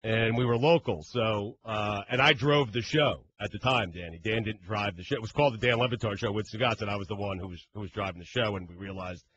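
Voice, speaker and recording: A man's voice: fairly low pitch, fairly quick, hardly any pauses, a bit bright, highs cut off, a little hiss or hum. A man co-hosting a sports radio show, telling rambling, joking stories in casual chat. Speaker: radio co-host.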